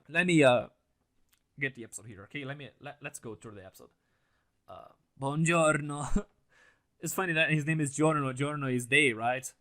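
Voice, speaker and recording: A young man whose first language is Portuguese, with a slightly higher, keen and bright-edged voice. He chats casually to camera, swearing, laughing and restarting his sentences in his hype. The sound comes from a stand mic set a little back in a small home setup. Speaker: anime reaction channel host. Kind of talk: casual reaction commentary to camera. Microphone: mic on a stand, set a bit farther than usual.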